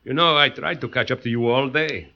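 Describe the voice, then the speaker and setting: A man's voice: mid-range voice, brisk, pushed and bright. An actor playing a tough, sardonic crime-story man, blunt and wisecracking, in 1940s radio noir style. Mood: wry. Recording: muffled old 1940s radio recording.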